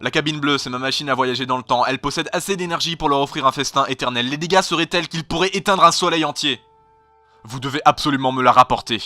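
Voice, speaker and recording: A man's voice: mid-range voice, fast talker, sharp tone, dry recording, close to an everyday voice. A man voicing a grave, eccentric stranded time traveler in an amateur fandub, explaining things earnestly and dramatically. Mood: urgent warning. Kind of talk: amateur French fandub acting. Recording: home dub over background music.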